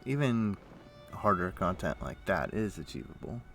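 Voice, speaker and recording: A man livestreaming a game, chatting casually and thinking aloud in a rambling way, with a rough morning voice. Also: low voice, very animated, normal speed, fairly bright tone, faint music behind. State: rough morning voice, throat clearing. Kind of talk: casual chat while playing an online game.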